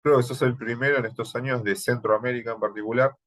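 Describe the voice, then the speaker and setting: A man's voice: mid-range voice, steady tone, quick pace, a bit bright. A man with an Argentine accent teaching an online thesis workshop, talking in a hesitant way with many fillers. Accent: Argentine Spanish. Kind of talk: online class, thesis advising. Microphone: video call.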